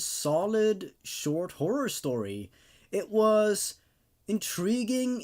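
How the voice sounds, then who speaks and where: A man who hosts horror story readings, narrating dramatically and commenting casually in an expressive, emphatic way. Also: on the high side. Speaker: host reading and reviewing horror stories.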